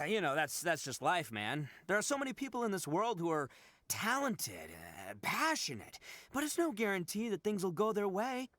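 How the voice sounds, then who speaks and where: A man's voice: high-pitched, huge pitch swings, normal speed, a bit bright, no room sound, heavily acted. A man voicing a brash, big-hearted but dim young oni brawler in a video game, cocky and full of stammering bluster. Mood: sheepish bluster.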